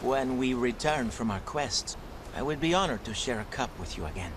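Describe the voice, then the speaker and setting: A man's voice: medium pitch, expressive, moderate pace, noisy background, natural voice. A man playing a humble, earnest fisherman turned scholar in a fantasy game, with thoughtful archaic phrasing. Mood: earnest, resolute. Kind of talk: fantasy game cutscene acting. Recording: loud music behind voice.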